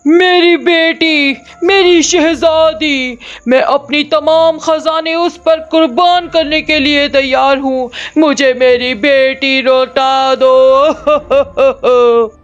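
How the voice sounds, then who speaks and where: Woman acting a wailing remorseful king, high-pitched. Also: fairly even intonation. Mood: desperate. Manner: wailing, pleading, drawn-out delivery. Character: grieving remorseful king, doting father of a princess. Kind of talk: Urdu cartoon storybook dialogue. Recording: dull lo-fi audio with music behind.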